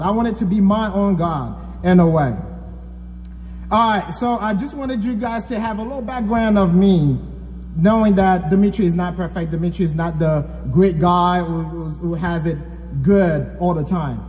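Man, from Haiti, preacher, high voice, echoey hall, faint hum. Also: moderate pace, a little mellow, muffled recording. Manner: conversational, asks the audience questions. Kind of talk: church sermon.

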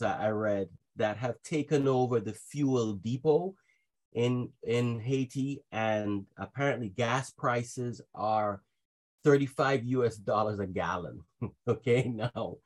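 Earnest halting preacher, man. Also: mid-range voice. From Jamaica and Trinidad. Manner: earnest, halting with repeated restarts. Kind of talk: sermon on a church call. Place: video call.

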